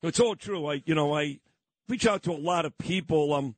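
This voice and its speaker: Male radio host, emphatic and list-heavy, slightly high voice, dry close mic. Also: moderate pace, no room sound. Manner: emphatic, talks in long run-on lists. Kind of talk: radio interview.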